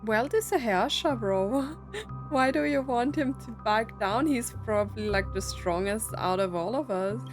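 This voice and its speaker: A woman's voice: on the high side, expressive, measured pace, soft background music. A woman streaming a story game with chatty, informal commentary, thinking aloud in trailing musings.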